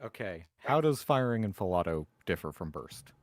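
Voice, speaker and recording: A man with a fairly low voice is a player in a tabletop game. He talks through his turn casually, in a very dry recording. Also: sing-song, normal speed, no echo.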